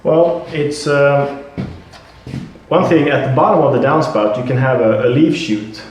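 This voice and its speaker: A man with a Swedish accent, a product representative, explaining and demonstrating his product with halting speech, fillers and repeated words. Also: medium pitch, soft background music. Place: building site at a house. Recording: very echoey.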